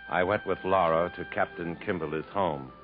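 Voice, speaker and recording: Male actor for earnest, world-weary English adventurer, 1950s radio drama. Low, measured, dramatic; dull vintage broadcast sound. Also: steady tone, lightly acted. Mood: wistful, reflective. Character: earnest, world-weary Englishman narrating his jungle journey. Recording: muffled 1950s radio broadcast, faint music.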